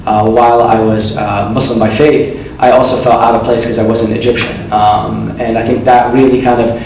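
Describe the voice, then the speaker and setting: A man's voice: mid-range voice, flat delivery, a little hiss or hum. Earnest man in a very echoey room. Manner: earnest and reflective, many "uh" and "um" pauses. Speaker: admissions consultant and company founder. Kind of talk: interview answers to an off-camera question. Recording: very echoey room, mic at a distance, faint ambience.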